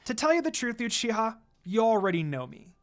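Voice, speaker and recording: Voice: mid-range voice, very animated, a little fast, pitched above the performer's own voice, close to an everyday voice. A narrator voicing a confident, teasing young ninja woman, smug and playful, in a read-aloud story. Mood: smug, taunting.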